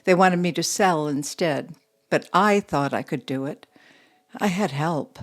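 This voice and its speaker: An actress playing a composed, guarded young widow who runs a steel mill, speaking in a measured, matter-of-fact way in an audio drama. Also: female voice, deep voice. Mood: reserved, matter-of-fact.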